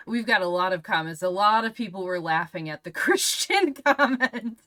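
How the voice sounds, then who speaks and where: A woman's voice: medium pitch, lively intonation, moderate pace, sharp tone. A woman hosting a casual livestream interview, reading out comments and questions in an informal way.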